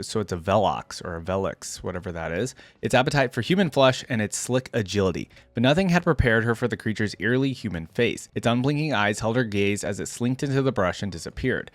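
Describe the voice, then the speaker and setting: A man's voice: medium pitch, no echo. A man reading fantasy lore stories aloud and adding casual reaction commentary as he thinks aloud. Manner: casual, thinks aloud between read passages. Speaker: host of a fan reaction video.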